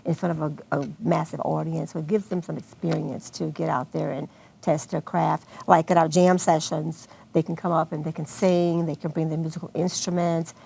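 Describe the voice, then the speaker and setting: Low, gravelly American woman. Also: level tone, normal speed, mellow. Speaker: guest, arts festival organizer. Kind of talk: television interview, unscripted answers.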